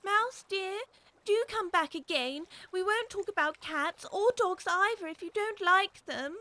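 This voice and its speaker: A well-mannered little girl in a full-cast audiobook. The voice is high and very bright, with an earnest, rambling, chatty manner. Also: unhurried, full character voice. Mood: apologetic. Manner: earnest, rambling, musing aloud. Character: polite, chatty, curious little English girl.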